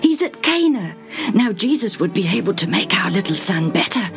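A woman voicing a distraught, devoted father, a royal official, with urgent, breathy, quivering speech in a storybook reading. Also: fairly high pitch, sing-song, a little slow, faint music behind, pitched above the performer's own voice. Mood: urgent, hopeful. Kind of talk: dramatised Bible storybook reading.

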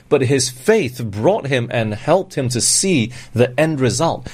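A man's voice: medium pitch, darkish tone, breathy edge. A man preaching a conversational sermon, speaking emphatically and often putting rhetorical questions to his listeners. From born in Singapore, grew up in Malaysia, England and Australia.

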